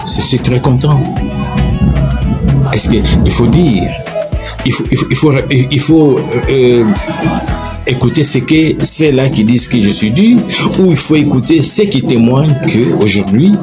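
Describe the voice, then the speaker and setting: A man's voice: medium pitch, expressive, a little slow. A man heading a school, answering interview questions emphatically, with many stammered restarts. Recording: loud music behind.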